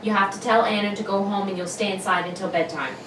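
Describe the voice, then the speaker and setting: A woman playing an anxious, gentle but firm mother and housewife in an old-style radio drama, hesitant and fretful. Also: mid-range voice, fairly even intonation, quick pace, close to an everyday voice. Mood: stern. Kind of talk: 1950s radio drama acting, amateur read-through. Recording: roomy, distant mic, clearly noisy.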